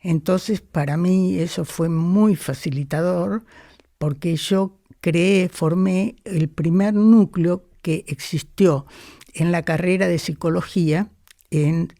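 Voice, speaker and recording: A woman, talking slowly and thoughtfully as a guest in an interview. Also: fairly low pitch, steady tone, dark tone, no echo, studio quality. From Argentina. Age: 80s. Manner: unhurried, thoughtful, frequent hesitations. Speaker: guest, psychoanalyst. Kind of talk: reflective interview conversation.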